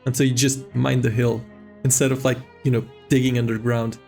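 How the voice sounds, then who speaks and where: A man game streamer chatting casually over gameplay, explaining things in a halting, informal way. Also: mid-range voice, little pitch movement, gravelly, darkish tone. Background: faint game music.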